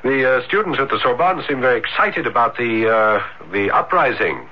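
An actor playing a tormented young student, earnest and intense, in a theatrical American radio drama style. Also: male voice, medium pitch, animated, moderate pace, husky. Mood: excited, eager. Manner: intense, theatrical, hesitant at times. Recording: muffled vintage radio drama recording.

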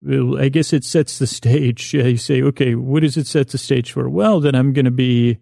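A man with a creaky voice hosting a bedtime podcast in a meandering, halting monologue full of unfinished sentences. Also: mid-range voice, fairly even intonation, mellow, no echo, studio quality. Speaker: podcast host.

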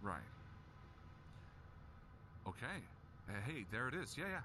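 An actor playing a calm, dryly sarcastic male police officer in an audio drama. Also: mid-range voice, a little slow, background noise.

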